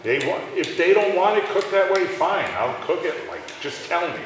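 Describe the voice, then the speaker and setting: A man's voice: animated, moderate pace, vocal fry. A middle-aged man, telling rambling stories with restarts during a live onstage interview. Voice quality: deep voice. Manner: rambling storytelling with restarts and laughs. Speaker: restaurant owner. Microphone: handheld mic that cut out, picked up from a distance. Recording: echoey hall from far off, faint noise.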